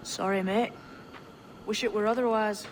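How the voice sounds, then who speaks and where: An actor playing an earnest, blunt member of an assassin order in a video game. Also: male voice, high-pitched, steady tone, normal speed, a little hiss or hum, lightly acted. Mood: scolding. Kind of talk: video game cutscene acting.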